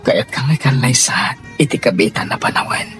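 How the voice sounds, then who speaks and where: A man's voice: mid-range voice, lively intonation, normal speed, fairly bright tone, soft background music, close to an everyday voice. A Filipino man playing a devoted young sweetheart in a radio drama, speaking earnestly and tenderly. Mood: tender, pleading. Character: devoted young male sweetheart surprising his beloved with a house. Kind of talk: Ilocano radio drama acting.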